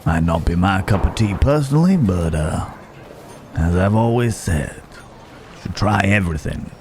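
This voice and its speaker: A man's voice: deep voice, expressive, a little mellow, slight background noise. A man playing a roguish, genteel Wild West stranger in an ASMR roleplay, wry and musing, speaking straight to the listener. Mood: wry, teasing. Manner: unhurried, wry, musing, with drawn-out pauses.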